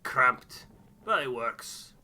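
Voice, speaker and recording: Man playing a loud, terse, wry crewman. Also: mid-range voice, expressive, drawn-out words, full character voice. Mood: gruffly wry. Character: gruff, blunt crewman tending a cramped boiler.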